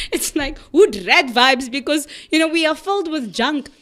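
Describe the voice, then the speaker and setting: A woman's voice: high voice, expressive, a little slow, a bit bright. A woman, an Afrikaans speaker, co-hosting a podcast in casual chat, talking freely and laughing often. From South Africa. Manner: chatty, laughs often, restarts sentences. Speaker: podcast co-host.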